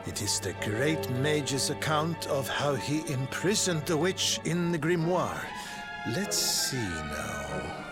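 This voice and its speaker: An actor playing a learned, excitable male scholar of magic in a video game, speaking formally and theatrically. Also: medium pitch, takes it slowly, loud music behind the voice. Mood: studious, declaiming. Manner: formal, bookish, exclamatory.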